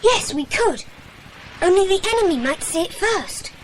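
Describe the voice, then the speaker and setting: A woman playing a sensible, well-spoken British girl who briskly takes charge in a children's adventure audio drama. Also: extremely high pitch, expressive, background noise. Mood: brisk, thoughtful.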